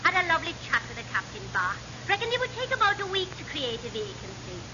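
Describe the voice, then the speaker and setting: Bossy Welsh auntie, extremely high voice, brisk and proud, muffled vintage radio comedy. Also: female voice, huge pitch swings. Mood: smug. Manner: overbearing, brisk. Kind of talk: 1950s-60s British radio comedy acting. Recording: muffled, noisy old radio recording.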